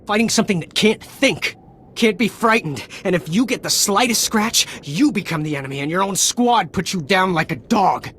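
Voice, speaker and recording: Nervous deserter soldier, stammering, slightly high voice, faint ambience. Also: male voice, expressive, moderate pace, fairly bright tone, a little hiss or hum. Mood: bitter. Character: weary young male deserter soldier, nervous. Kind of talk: video game cutscene.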